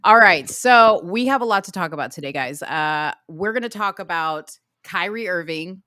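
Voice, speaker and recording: A woman's voice: on the low side, animated, bright, forceful tone, dry recording, studio quality. Playful woman co-hosting a livestream chat. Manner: playful, laughing, quick asides. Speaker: podcast co-host.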